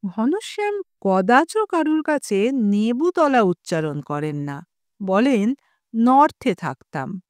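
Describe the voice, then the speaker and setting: A woman narrating a scripted story in an expressive storytelling style. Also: fairly high pitch, slow, dry recording.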